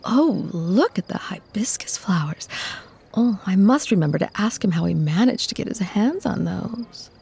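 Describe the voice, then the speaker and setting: Actress as tender, protective female vampire for ASMR drama; soft, very husky and breathy, gently creaky, genteel. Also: medium pitch, animated, moderate pace, full character voice. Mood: impressed, polite. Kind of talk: scripted ASMR audio roleplay.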